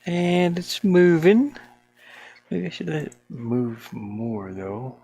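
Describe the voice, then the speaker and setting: A solo game developer thinking aloud in a casual, unscripted way, with a creaky voice and colloquial, mildly grumbling speech. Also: medium pitch, steady tone, slow pace, warm tone, soft background music. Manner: muttering to self, grumbling mildly, colloquial 'ain't' and double negatives. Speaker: solo game developer narrating their work. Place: small warm room with a fan, indoors.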